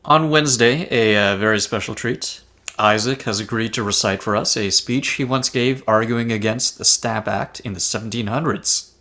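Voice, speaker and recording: A man playing an earnest, cheerful ghost who politely and haltingly announces club activities in a table read. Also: fairly low pitch, pushed and bright. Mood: earnest announcing. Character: earnest, cheerful scout-leader type ghost organizing activities.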